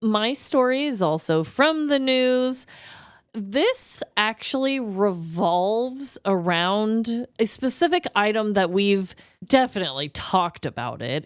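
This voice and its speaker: A woman co-hosting a podcast in casual comedic chat, expressive and free with swearing. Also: medium pitch, clear, resonant tone, no echo, clean studio sound. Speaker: podcast co-host.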